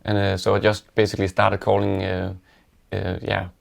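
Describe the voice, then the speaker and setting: A Danish-accented man speaks in a low, gravelly voice with a heavy fry on his words. His delivery is level and unvaried, halting and reflective, like someone recalling stories in a relaxed interview. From Denmark. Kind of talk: casual reflective storytelling.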